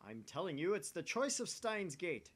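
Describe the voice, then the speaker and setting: A man's voice: big pitch swings, normal speed, a bit bright, heavily acted. A man voicing a grandiose, bossy young self-styled mad scientist with theatrical, pompous declarations for a visual novel. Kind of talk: visual novel character acting. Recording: faint rumbly background noise.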